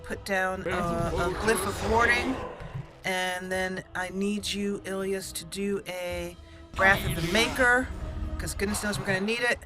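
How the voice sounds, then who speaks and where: A woman's voice: mid-range voice, fairly even intonation, a little slow, music in the background. A woman hosting a game playthrough, fretfully talking through each move as she plays. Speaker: let's play host. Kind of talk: gameplay commentary.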